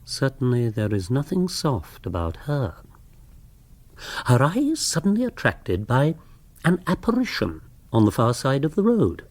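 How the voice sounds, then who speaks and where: An actor playing a wry, observant narrator, reading literary narration for a radio drama. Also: male voice, medium pitch, huge pitch swings, unhurried, darkish tone. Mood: wry, measured. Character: wry, observant male storyteller.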